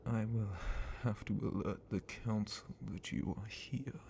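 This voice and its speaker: A man voicing an ancient, sadistic dragon captain in an ASMR roleplay, menacing and condescending in a breathy, gravelly whisper with drawn-out theatrical delivery. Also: lowish voice, dark tone, a little hiss or hum. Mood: darkly amused, possessive. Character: ancient sadistic male dragon guard captain, possessive captor.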